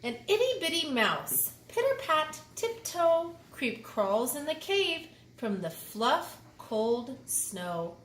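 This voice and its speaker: A woman giving an expressive picture-book read-aloud, pausing to explain things to young children. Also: sits high. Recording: roomy echo with faint background noise.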